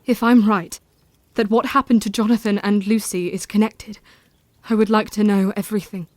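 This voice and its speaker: A woman's voice: medium pitch, no echo, natural voice. Composed, resolute Victorian wife, acted by a woman, dry sound. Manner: formal, earnest, deliberate. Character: composed, resolute Victorian wife, frank and troubled, Mina Harker. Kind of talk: audio drama acting.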